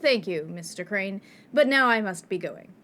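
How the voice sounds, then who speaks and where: A woman's voice: mid-range voice, lively intonation, normal speed, a bit bright, lightly acted. A woman playing a prim young landlady, dry and dismissive, in a comedy radio play. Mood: brisk, curt. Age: young adult.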